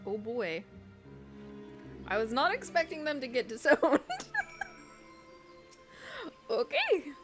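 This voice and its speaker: A woman giving expressive, reactive gameplay commentary as a streamer. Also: sits high, slow pace, pauses often, music in the background. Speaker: streamer voicing game dialogue. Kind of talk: gameplay commentary mixed with dramatic dialogue reading.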